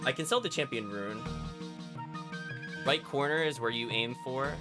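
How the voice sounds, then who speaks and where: A man's voice: medium pitch, normal speed. A man giving casual live commentary as a video game streamer, thinking aloud and chatting to his viewers. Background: game music.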